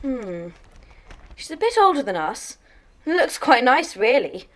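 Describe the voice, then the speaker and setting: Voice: high-pitched, big pitch swings, takes it slowly, fairly bright tone, full character voice. An actor playing a keen, observant young schoolgirl, eager and thoughtful, in an audiobook drama.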